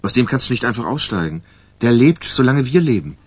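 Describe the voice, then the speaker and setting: An actor playing an earnest, persistent writer and loyal friend in a radio crime drama, a man with a husky voice. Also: medium pitch, sing-song, brisk, no room sound. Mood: earnest, insistent.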